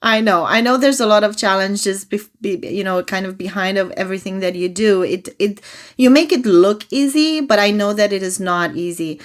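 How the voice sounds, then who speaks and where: A woman's voice: medium pitch, moderate pace. A woman hosting a podcast interview, asking friendly, encouraging questions. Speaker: podcast host.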